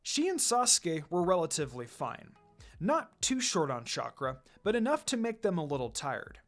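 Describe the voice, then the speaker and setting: A man's voice: high-pitched, very animated, moderate pace. A man reading fan fiction aloud as a dramatic scripted narration. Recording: music under the voice. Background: background music.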